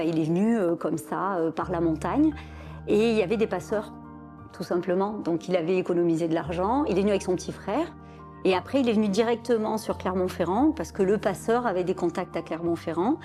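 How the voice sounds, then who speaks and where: A woman's voice: fairly low pitch, warm tone. A woman in a documentary interview, recalling her family's history in a conversational way. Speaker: interviewee, child of immigrants.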